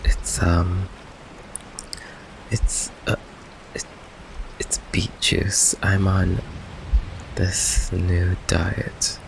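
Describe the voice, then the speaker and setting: A man acts as a nervous goth vampire boyfriend in an intimate ASMR roleplay. His voice is very low and unhurried, full of stammers and evasions, yet affectionate. Rain runs clearly behind him. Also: completely flat delivery, noisy background, full character voice. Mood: nervous, evasive. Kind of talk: ASMR roleplay, intimate one-sided conversation. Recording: rain ambience behind close voice.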